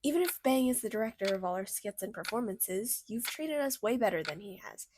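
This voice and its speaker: A woman voicing a bubbly, caring female cartoon character in a radio play, chatty, motherly and expressive. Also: medium pitch, brisk, background noise, lightly acted. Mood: warm, encouraging. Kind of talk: fictional radio theater acting.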